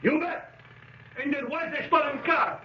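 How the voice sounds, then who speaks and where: A man playing an excitable police partner calling out from below in a 1970s radio drama. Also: very high voice, unhurried, slight background noise. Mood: excited. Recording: muffled, echoing, distant 1970s radio recording.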